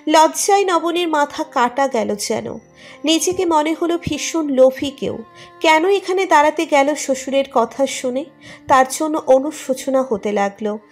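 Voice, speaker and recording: A woman's voice: high-pitched, unhurried. A woman reading a scripted romantic story as its narrator. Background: music under the narration.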